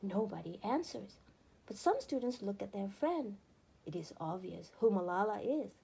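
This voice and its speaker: A woman narrating a children's book aloud in an expressive, deliberate storytelling manner with emphatic phrasing. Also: mid-range voice, gravelly, breathy edge.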